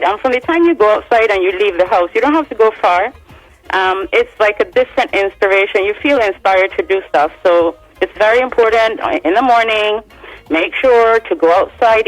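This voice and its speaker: A woman's voice: mid-range voice, rough recording. Guest singer, woman, 40s, Swedish, easygoing talker. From Sweden. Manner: warm, chatty, laughs easily. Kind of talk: casual radio interview.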